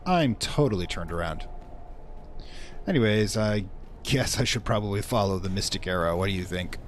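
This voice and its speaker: A man with a fairly low, very lively voice hosts a video game playthrough in a casual way. He chats wryly and mutters asides to himself, with clear noise and game ambience behind him. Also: normal speed. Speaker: video game let's play host. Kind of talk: casual gameplay commentary.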